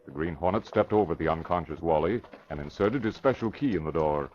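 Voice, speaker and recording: Deep-voiced old-time radio narrator, man. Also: lively intonation, a little fast, a little mellow, a little hiss or hum. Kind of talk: dramatic scripted narration, 1940s radio. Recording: dull lo-fi old radio recording.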